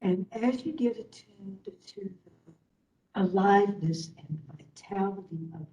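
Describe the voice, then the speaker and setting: Slow, mellow woman guiding meditation. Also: medium pitch. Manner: soothing, long pauses between short phrases. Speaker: meditation and yoga instructor. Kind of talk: guided meditation. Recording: roomy echo, distant from the microphone.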